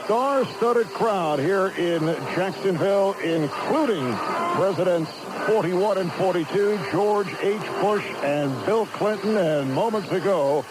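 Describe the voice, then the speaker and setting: A man's voice: high voice, slow. A man anchoring live radio sports coverage, recorded off a noisy AM radio broadcast. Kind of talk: live radio game commentary.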